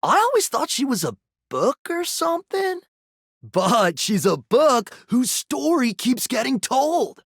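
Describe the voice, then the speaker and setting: A woman voicing a boisterous, thrill-seeking daredevil in a video game, with bombastic, rough-edged hype. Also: high-pitched, very animated, no echo, clean studio sound, exaggerated voice. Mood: rowdy hype. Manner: bombastic, shouted, drawn-out hype.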